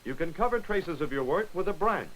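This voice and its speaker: A man giving brisk, wry scripted narration for a 1940s military training film, on an old film soundtrack. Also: fairly high pitch, expressive, a bit bright, slight background noise, rough recording.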